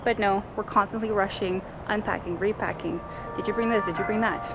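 A woman's voice: medium pitch, steady tone, fairly quick, noisy background. A woman in her thirties from Canada chatting casually to a handheld camera outdoors as a travel vlogger. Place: outdoors, around town and at sea. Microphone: handheld camera at arm's length. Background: loud background music.

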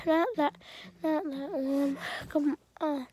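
A high-voiced woman talks slowly and casually, her voice stuffy from a cold. She rambles and clears her throat as she reviews a music game, filmed on a phone at home. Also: steady tone, mellow, no echo.

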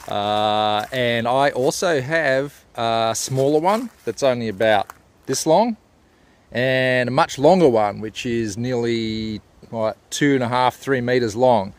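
A man, giving a casual, plain-spoken explainer as a vlogger. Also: medium pitch. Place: inside a parked four-wheel drive and outdoors at a bush campsite.

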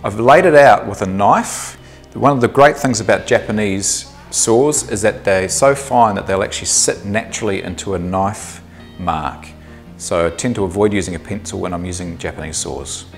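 A man in his thirties with a New Zealand accent, explaining a woodworking project step by step in a workshop, relaxed and thinking aloud with the odd um. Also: fairly low pitch, moderate pace, soft background music. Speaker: woodworking instructor. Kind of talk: workshop tutorial. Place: small indoor workshop.

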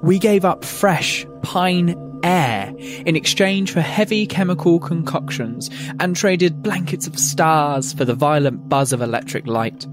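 A man's voice: fairly high pitch, measured pace, over music. A man reading a scripted first-person horror story as its narrator.

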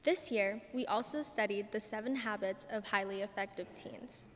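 A woman's voice: normal speed, a bit bright. A teenage girl reading a prepared speech at a graduation ceremony, as one of the students. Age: teenager, about 14. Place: large church, indoors. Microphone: podium microphone. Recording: church reverb with faint rumble.

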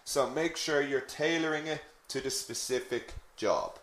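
An Irish English teacher talks his class through a lesson at an unhurried pace, mid-pitched and conversational. He keeps asking questions and tags his points with "okay?". His voice carries a noticeable room echo. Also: fairly bright tone. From Ireland. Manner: chatty, asks the class questions, frequent "okay?" check-ins. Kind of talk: online teaching lesson on CV writing.

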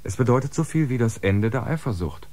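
An actor playing an assured spaceship coordinator, explaining in an official manner in a German audio drama. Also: male voice, mid-range voice, expressive, brisk, warm tone, lightly acted. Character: assured male spaceship coordinator guiding a newcomer. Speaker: coordinator and guide.

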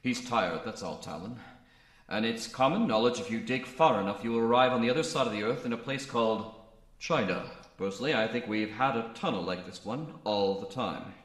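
An actor playing an easygoing, folksy space adventurer in a pulp sci-fi radio serial. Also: male voice, mid-range voice, animated, a little fast, fairly bright tone, roomy sound, close to an everyday voice. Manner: folksy, colloquial.

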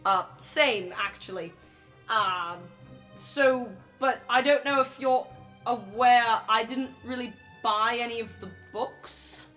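A woman's voice: a little high, slow, fairly bright tone, soft background music. A woman playing an awkward, apologetic young male student in a tabletop game, speaking hesitantly and earnestly. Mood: nervous, apologetic. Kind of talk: improvised tabletop roleplay.

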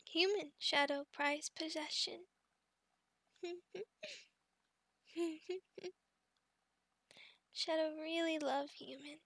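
A woman voicing a sweet, childlike, devoted adult female goblin in an ASMR roleplay, speaking in halting, excitable broken third-person sentences. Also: very high voice, dry recording, clean studio sound, heavily acted.

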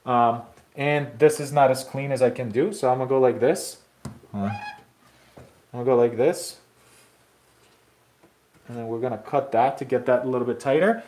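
A man's voice: medium pitch, expressive, moderate pace, long pauses, darkish tone. Man, thirties, casual rambling how-to talk, live-stream, handheld phone sound. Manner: loose and rambling, many restarts and "like" fillers. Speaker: tile installer streaming his work. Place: bathroom renovation site and snowy driveway.

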